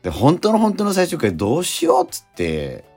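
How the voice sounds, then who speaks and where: A man co-hosting a radio show in casual chat, reflective and halting, with frequent murmured interjections. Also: a little high, huge pitch swings, a little mellow, husky. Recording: music behind the voice. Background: background music.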